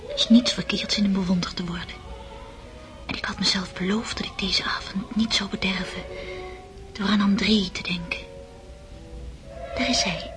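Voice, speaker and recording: An actress playing a young, impressionable countess in a radio play. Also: female voice, mid-range voice, close to an everyday voice. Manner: breathy whispered inner thoughts.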